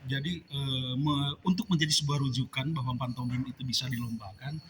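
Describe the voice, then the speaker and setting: A man's voice: mid-range voice, steady tone, fairly quick. A man on an arts panel talking thoughtfully, rambling with frequent fillers. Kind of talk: casual panel discussion. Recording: noisy room ambience.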